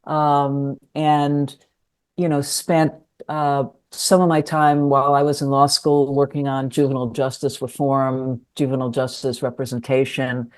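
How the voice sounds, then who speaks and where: A woman's voice: very deep voice, flat delivery, unhurried. Older woman, thoughtful and hesitant. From New York City. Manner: thoughtful, hesitant with many ums. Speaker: interview guest, lawyer and writer. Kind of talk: conversational interview.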